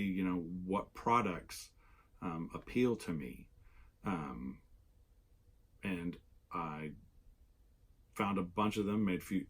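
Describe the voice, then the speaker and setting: A man, a little hoarse after losing his voice, chatting casually in a rambling way with many fillers as the host of his knitting vlog. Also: deep voice.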